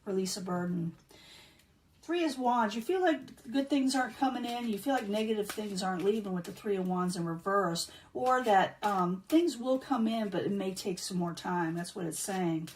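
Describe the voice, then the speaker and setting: Woman, tarot reader, hoarse, throat-clearing, chatty, um fillers, distant mic. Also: medium pitch, animated, moderate pace, a little hiss or hum. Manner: chatty, repeats herself, many um fillers. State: losing her voice, clearing throat. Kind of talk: casual tarot card reading.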